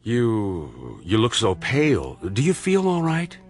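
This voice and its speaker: A man's voice: lowish voice, wildly swooping pitch, slow pace, exaggerated voice. An actor playing an earnest male medical colleague with a probing, moralising, sardonic manner in a radio drama. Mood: firm, concerned.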